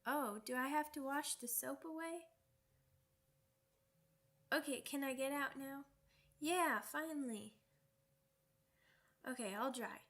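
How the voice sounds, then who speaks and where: A little werewolf cub girl for an ASMR audio drama. The voice is very high and unhurried, with a childish, pouty, rambling manner that is cheeky and earnest. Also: fairly bright tone, exaggerated voice. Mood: grumpy, pouty.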